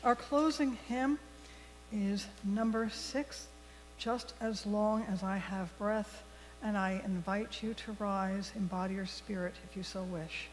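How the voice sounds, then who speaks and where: A woman leading a worship service, reading liturgy and giving announcements to a congregation in measured, deliberate phrases. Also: mid-range voice. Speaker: worship leader. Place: large church sanctuary. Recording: long hall reverb, faint mains hum.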